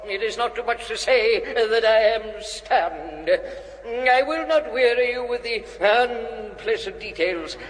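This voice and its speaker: A man's voice: extremely high pitch, expressive, slow pace, fairly bright tone, big-room sound, full character voice, poor audio. A man playing a pompous, kindly old country vicar with an upper-class English accent, speaking formally and ponderously in a radio comedy. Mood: grave, solemn. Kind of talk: British radio comedy acting.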